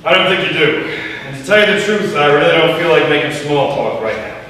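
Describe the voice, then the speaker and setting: A man's voice: a little high, animated, a little fast, sharp tone. Man acting a curt, irritable loner, echoey and distant. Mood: irritated. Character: irritable, aloof man rebuffing small talk. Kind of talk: stage play acting. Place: theatre stage, live performance. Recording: echoey hall from far off, faint noise.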